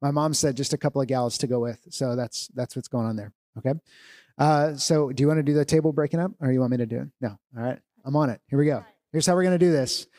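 A man leading a church family meeting, giving relaxed, joking instructions to tables of people. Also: mid-range voice, quick pace. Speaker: church leader.

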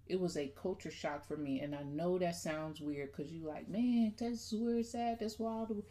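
A woman's voice: fairly low pitch, moderate pace, mellow. Chatty Midwestern woman hosting a podcast. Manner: chatty, playful, talks straight to listeners. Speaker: podcast host. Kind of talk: casual conversational podcast.

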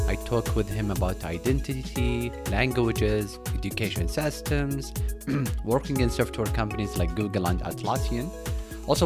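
A man hosts a podcast and talks in a relaxed, casual way, sometimes stumbling over his words. His voice is fairly low, and soft music plays faintly behind him. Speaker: podcast host. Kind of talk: casual interview chat.

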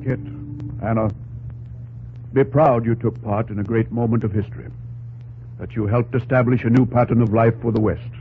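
A man acts a dignified, high-minded statesman in an old-time radio play. He speaks formally and earnestly at a medium pitch, on a dull recording with faint hum. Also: fairly even intonation, moderate pace, vocal fry, pauses often, close to an everyday voice. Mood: stately. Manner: oratorical, earnest. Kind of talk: old radio drama acting.

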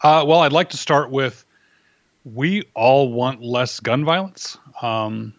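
A man speaking as an interview guest, laying out arguments in a measured, conversational way and checking his points with rhetorical questions. The recording is close and dry, but slightly dull and lo-fi. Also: medium pitch, no room sound. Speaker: interview guest, firearms instructor and writer. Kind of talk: conversational interview, explaining opinions.

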